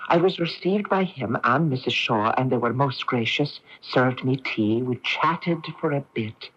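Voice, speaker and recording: An actress playing a polite, earnest, questioning woman with a measured, genteel manner in a radio drama. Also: rumbling low, slightly rough, heavily acted. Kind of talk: 1980s radio drama acting. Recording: dull lo-fi 1980s AM radio recording.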